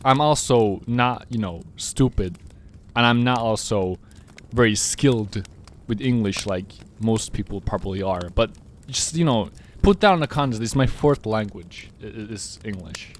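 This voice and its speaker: A man's voice: fairly low pitch, a little slow, slight background noise. A young man from Finland whose first languages are Russian and Finnish, rambling and swearing freely in casual podcast chat. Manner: rambling, repeats words, swears often. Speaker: podcast co-host.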